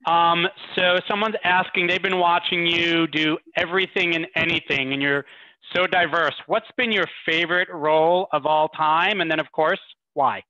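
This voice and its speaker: A man's voice: fairly high pitch, fairly even intonation, normal speed, sharp tone. A man casually hosting a live panel over a muffled video call from an indoor room. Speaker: host. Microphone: computer mic on video call.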